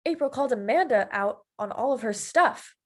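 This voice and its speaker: A woman's voice: on the high side, animated, normal speed. A woman reading the part of a shocked, hesitant teen girl, in an amateur table read. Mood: questioning. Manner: hesitant, questioning.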